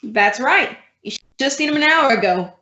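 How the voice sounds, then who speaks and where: A woman playing a wry, quick-witted schoolteacher with dry, deadpan delivery in old-time radio comedy style. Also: high-pitched. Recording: roomy, dull lo-fi recording.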